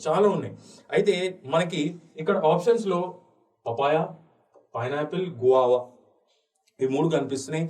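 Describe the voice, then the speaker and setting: Telugu indian guy teaching exam questions, kinda high voice, mixes english in slowly, echoey room. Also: a little mellow. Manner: switches between Telugu and English, slow deliberate English. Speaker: exam coaching history teacher. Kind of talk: lecture walking through exam questions. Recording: echoey room, distant mic.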